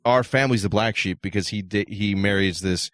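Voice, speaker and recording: A man's voice: on the low side, fairly quick, fairly bright tone, no echo, clean studio sound. A man co-hosting a comedy show in casual, conversational chat.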